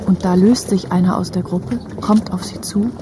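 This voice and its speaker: An actress playing an intense narrator in a literary radio drama, deliberate and suspenseful, with breathy delivery. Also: female voice, on the low side, steady tone, noisy background, natural voice. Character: intense female narrator shadowing a hunted woman's every step.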